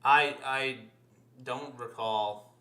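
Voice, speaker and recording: A man of medium pitch with a sharp, bright voice chats casually as a podcast co-host. He is blunt and swears crudely, and the room adds clear echo around him. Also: steady tone, drawn-out words, slight background noise. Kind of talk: casual pop culture chat.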